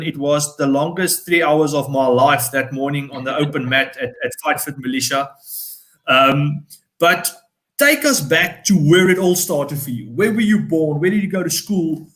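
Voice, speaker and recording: A man hosts an interview casually, often stopping to rephrase what he means. His voice sits a little higher than most men's. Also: fairly bright tone. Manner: easygoing, laughs and restarts sentences. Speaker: podcast host.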